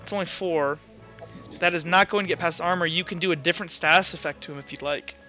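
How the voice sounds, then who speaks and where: A man with a bright, forward voice runs a tabletop roleplaying session as game master. He narrates the action and rules in a casual way. The sound is dull and lo-fi, with music faintly behind him. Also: a little high, fairly even intonation, quick pace.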